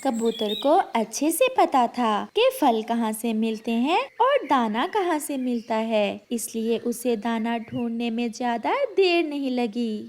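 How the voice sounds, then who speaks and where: A woman's voice: a little high, expressive, measured pace, hardly any pauses, darkish tone, a little hiss or hum. A woman narrating a scripted children's story.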